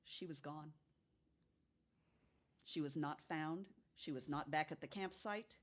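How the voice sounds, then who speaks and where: A woman's voice: low-pitched, slow pace. An older woman telling stories casually and conversationally as a guest on a podcast.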